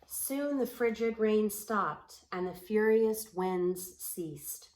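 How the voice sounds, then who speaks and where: A woman reading poetic children's stories aloud in a measured, expressive way with deliberate phrasing. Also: medium pitch. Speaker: storybook reader. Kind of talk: storybook read-aloud in rhyming, poetic prose.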